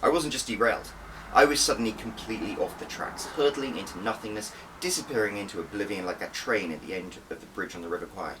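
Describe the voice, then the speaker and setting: A man narrates a first-person novel in a deep register. His delivery is scripted, dramatic and emphatic. The recording is slightly distant, with a light hiss underneath. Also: quick pace, fairly bright tone. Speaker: author reading own audiobook.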